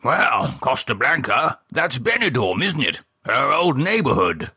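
A man voicing a fussy, mildly pompous older gentleman in an audiobook. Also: mid-range voice, very animated, measured pace, breathy edge, dry recording, clean studio sound, voice pushed up, exaggerated voice. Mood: musing. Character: fussy older English gentleman, chatty, newspaper reader. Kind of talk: audiobook character voice.

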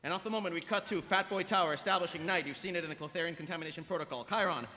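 A man's voice: a little high, very fast, pushed and bright. A man reading stage directions as narrator of a live table read. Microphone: podium microphone. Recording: reverberant hall PA, audience noise.

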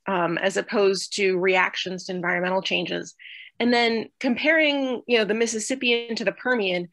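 A woman's voice: medium pitch, a bit bright, dry recording. A young woman with a mid-range voice speaks on a video call with very little room sound. She reasons aloud, a little tentatively, in a thoughtful academic style. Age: young adult. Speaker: researcher asking a question. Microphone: video call computer mic.

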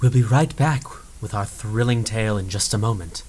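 A man as an old-time radio announcer, reading a sponsor ad in a smooth salesy way. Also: on the low side, normal speed, background noise. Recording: music underneath.

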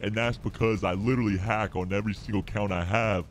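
Deep pitched-down gamer braggart voice. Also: male voice, steady tone, quick pace, slight background noise. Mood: sheepish confessing. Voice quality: deep, digitally lowered. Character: cocky, sleazy deep-voiced male gamer braggart, socially awkward loser. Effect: voice changer pitched down. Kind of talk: improvised prank call while gaming.

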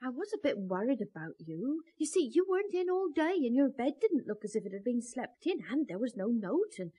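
A fussing village housekeeper in a 1970s radio play. She is deferential and anxious, with a high, husky voice that rises and falls widely. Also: very animated, brisk, warm tone. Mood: worried, apologetic. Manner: fussing, apologetic. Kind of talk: 1970s radio drama acting.

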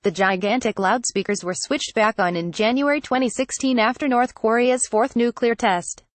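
A woman reading a scripted news report in an even, flat delivery. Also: a little high, moderate pace, dry recording. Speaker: news reader.

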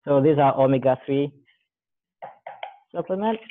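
A man's voice: medium pitch, measured pace. A man in his thirties casually walking through a recipe, rambling and correcting himself as he works. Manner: relaxed, rambling, self-correcting while working with his hands. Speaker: guest demonstrating a recipe. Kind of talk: casual cooking demo. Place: large bare room with a counter, indoors. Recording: thin phone-like call audio.

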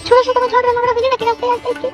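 Cartoon comedy mother played by a woman: inquisitive, scolding, extremely high voice with music underneath. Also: completely flat delivery, rapid-fire. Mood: scolding, nosy. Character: nagging, inquisitive village mother of a grown son.

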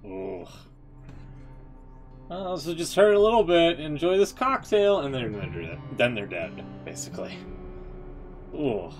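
A man's voice: fairly high pitch, very animated, measured pace, long pauses, faint music behind. A man streaming a game, giving casual live reactions and commentary.

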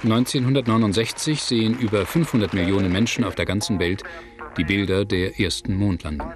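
A man narrating a scripted documentary in a measured, factual manner. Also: on the low side, background noise. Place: studio voice-over, music behind.

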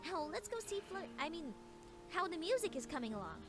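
A woman's voice: very high-pitched, sing-song, a little fast, over music. A woman voicing an anxious, bookish young unicorn pony in a cartoon, flustered and hesitant. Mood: flustered, uneasy. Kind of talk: animated fan drama acting.

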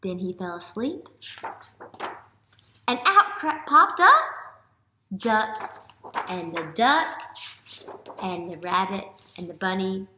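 Bright, animated American woman teaching children. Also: mid-range voice, slow pace, long pauses, a little hiss or hum. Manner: animated, expressive, questioning, addressing young children. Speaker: early elementary teacher. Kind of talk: children's read-aloud and lesson. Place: classroom, indoors. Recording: roomy classroom echo.

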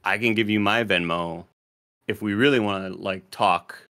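Halting, deadpan male co-host. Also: fairly low pitch. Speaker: podcast co-host. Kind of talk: casual podcast banter.

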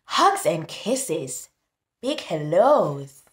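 A woman tutor reads a picture book to small children in an echoey room. Her voice is on the low side and swings expressively in pitch. She goes very slowly and breaks off to explain things directly to her young listeners. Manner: animated, instructive, addressing young children directly. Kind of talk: children's read-aloud with teaching asides.

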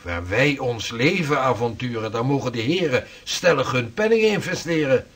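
Husky, earnest old-world navigator. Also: male voice, medium pitch, lively intonation, a bit bright. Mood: determined. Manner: formal, measured, archaic phrasing. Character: earnest male 16th-century Dutch navigator and mapmaker. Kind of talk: period radio drama acting.

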